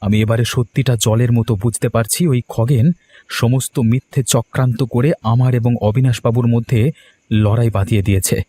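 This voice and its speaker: A man's voice: medium pitch, steady tone, brisk, mellow, a little hiss or hum, close to an everyday voice. A man playing an earnest, wronged and hot-headed villager in a cartoon story, speaking emphatically. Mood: resolved. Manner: emphatic, declamatory. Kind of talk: Bengali cartoon story acting.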